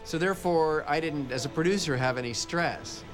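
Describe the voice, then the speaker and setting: A man's voice: on the high side, moderate pace, over music. A man, a film producer, giving a matter-of-fact interview about the director. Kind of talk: behind-the-scenes interview.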